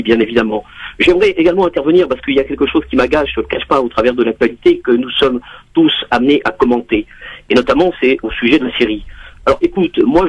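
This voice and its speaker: A man calling in to a radio show on a muffled phone line, talking in halting restarts as he airs a grievance. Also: mid-range voice, husky. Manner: hesitant, restarts often, then rants. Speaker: radio caller. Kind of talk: call-in radio talk.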